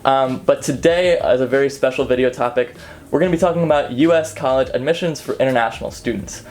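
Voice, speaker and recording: A young man in his twenties hosting a casual interview, talking in a relaxed way with many fillers. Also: mid-range voice, fast talker. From United States. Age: 20s. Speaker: host, admissions consultant.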